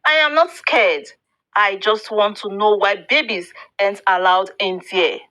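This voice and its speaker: A woman's voice: mid-range voice, measured pace, pushed and bright. A woman voicing a curious, nervous young boy in a storybook tale, earnest and insistent. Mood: defensive.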